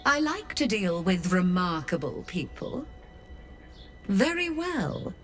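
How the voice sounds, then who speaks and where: Game role: imperious occult merchant, shrewd and haughty, unhurried, highly varied intonation. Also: female voice, sing-song, a bit bright, soft background music. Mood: proud, intrigued. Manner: measured, theatrical, haughty. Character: shrewd, imperious infernal merchant woman, latchkeeper of Hells. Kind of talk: video game character acting.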